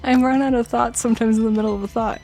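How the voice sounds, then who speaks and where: A woman's voice: on the high side, steady tone, warm tone, soft background music. Soothing-voiced woman hosting a podcast. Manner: soothing, measured delivery. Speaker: podcast host. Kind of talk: scripted true crime narration and chat.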